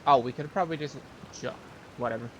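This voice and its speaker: A man's voice: mid-range voice, fast talker, lo-fi sound. A man doing casual live game commentary as a streamer, musing to himself in short remarks. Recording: live stream with clear background noise.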